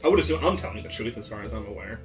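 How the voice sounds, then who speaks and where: A man chatting casually as a player in a tabletop game, crude and jokey, quick to laugh. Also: fairly low pitch, animated. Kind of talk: casual joking chat. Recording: somewhat distant mic, music behind. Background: ambient music.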